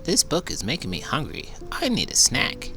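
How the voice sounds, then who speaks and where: A man voicing an excitable, cheeky little bookworm from a children's storybook, exclaiming in a silly, incredulous way. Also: fairly high pitch, normal speed, over music, pitched above the performer's own voice. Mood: peckish. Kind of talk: cartoonish children's storybook character voice.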